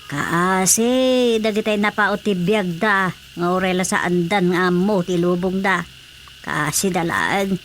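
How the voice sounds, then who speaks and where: A woman's voice: lowish voice, fairly even intonation, unhurried, fairly bright tone, slight background noise. A woman playing a compassionate, plain-spoken older village wife with an Ilocano accent in a comic radio drama, earnest in manner. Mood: concerned.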